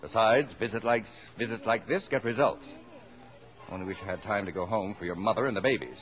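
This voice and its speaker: An actor playing a brisk, proud, bossy middle-aged father with pompous, formal phrasing in a 1950s radio play. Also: fairly low pitch, close to an everyday voice. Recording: noisy vintage 1950s radio recording.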